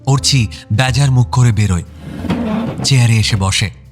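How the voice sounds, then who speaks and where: Medium-pitched male drama narrator over music. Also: measured pace. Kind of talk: scripted audio drama narration. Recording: clear music bed behind voice.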